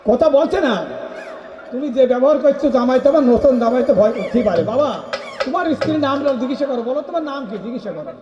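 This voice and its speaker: A man's voice: extremely high pitch, animated, fast, highs cut off, background noise. A man with a Bangladeshi Bengali accent hosting a live folk puppet show with coaxing, repetitive banter. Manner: coaxing, repetitive calls to audience and children. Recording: loud live music and crowd, echoey venue. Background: tabla percussion and crowd.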